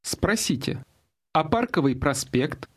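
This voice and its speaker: Male course narrator, fairly high and slightly rough voice, instructional delivery for audio lessons. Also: animated, slow.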